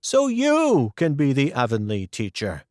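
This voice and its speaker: A man's voice: medium pitch, huge pitch swings, unhurried, dry recording, clean studio sound. A man voicing a gossipy older village woman in a storybook reading, emphatic and newsy.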